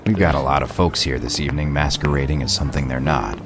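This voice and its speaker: A man playing a gruff, dryly sardonic sheriff detective in an audio drama, speaking in a gravelly voice. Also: extremely low pitch, fairly even intonation, fast. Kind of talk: fan-made audio drama acting. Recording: music under the voice.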